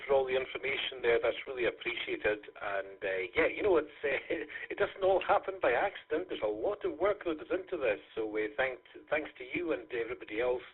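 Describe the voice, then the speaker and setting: UK radio net host, lively pitch, thin radio line, hesitant delivery. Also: male voice, slightly rough. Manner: hesitant, many fillers, friendly. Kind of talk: hosting an amateur radio net. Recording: thin phone-like radio audio.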